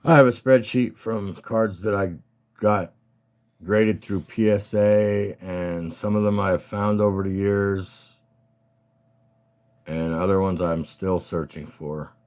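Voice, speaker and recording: A man with a slightly scratchy voice, casually and haltingly talking through his collection as a hobbyist host. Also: low-pitched, fairly even intonation, a little mellow. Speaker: collector hosting a card showcase. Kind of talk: casual rambling show-and-tell talk.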